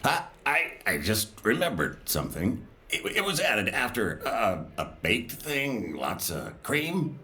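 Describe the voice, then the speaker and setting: An actor playing a shy, awkward engineer in an audio drama, stammering and hesitant. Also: male voice, mid-range voice, very animated, unhurried, pushed and bright. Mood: bashful, flustered. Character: shy, awkward male engineer with a cyber arm. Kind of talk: naturalistic audio drama acting. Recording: busy crowd noise behind. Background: crowd chatter.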